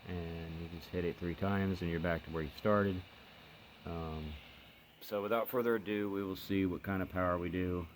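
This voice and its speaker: A man with a very low voice talks through a casual hands-on demo. He explains in an unscripted, halting way, like a hobby reviewer showing gear. There is clear noise behind him. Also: fairly even intonation. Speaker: host of a hobby radio review channel. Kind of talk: casual hands-on product demonstration. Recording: noticeable background noise.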